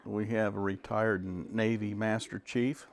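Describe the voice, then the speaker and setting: Slow gravelly-voiced man. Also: fairly low pitch, level tone. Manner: slow, measured listing with pauses. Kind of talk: casual interview.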